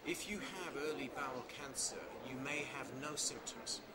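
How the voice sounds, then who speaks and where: A man's voice: medium pitch, moderate pace. A man speaking as a medical expert in an earnest, explanatory interview statement. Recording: loud background noise.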